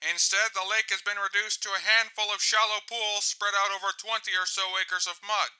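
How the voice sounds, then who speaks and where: A man's voice: high-pitched, flat delivery, moderate pace, sharp tone, clean tone, low-quality recording. A man playing an earnest TV field reporter, giving a scripted live report in a formal news delivery.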